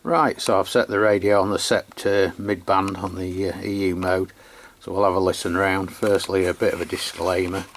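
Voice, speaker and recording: A man's voice: sits low, level tone, normal speed, hardly any pauses. A man giving a casual, unscripted hands-on review of equipment in a matter-of-fact way. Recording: clear background noise.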